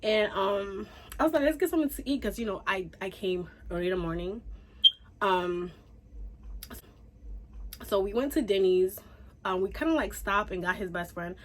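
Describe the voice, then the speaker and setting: A woman's voice: mid-range voice, moderate pace, pauses often, a little hiss or hum, low-quality recording. Chatty young woman telling a story. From Haiti. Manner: chatty, animated, says "like" and "y'all" a lot. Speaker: YouTuber. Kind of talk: casual storytime.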